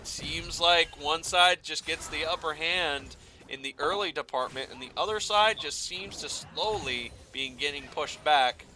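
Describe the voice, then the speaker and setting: A man doing play-by-play esports commentary, excitable and playful, with dramatic phrasing and casual banter. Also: a little high, a little slow, a little hiss or hum.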